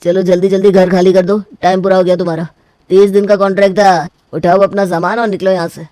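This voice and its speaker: A man voicing a brusque, impatient rent collector in an animated story, ordering tenants out. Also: sits high, fast, clean tone, no room sound, natural voice.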